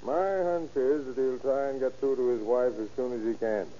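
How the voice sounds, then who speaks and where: An actor playing an authoritative county sheriff giving clipped orders in a 1930s radio crime drama. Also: male voice, mid-range voice, steady tone, moderate pace, natural voice. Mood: commanding. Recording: muffled old radio recording.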